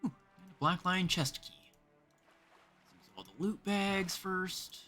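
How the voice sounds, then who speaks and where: A man's voice: high-pitched, slow pace, bright, forceful tone, faint music behind. A man from Canada casually commentating as he livestreams a game, thinking aloud in short remarks. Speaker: livestreaming gamer.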